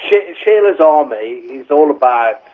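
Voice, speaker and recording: A man's voice: mid-range voice, lively intonation, unhurried. A man, a songwriter, explaining his song over the phone in a loose, rambling way, with restarts and fillers. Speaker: songwriter being interviewed. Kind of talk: casual chat over the phone. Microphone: telephone. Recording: tinny phone line.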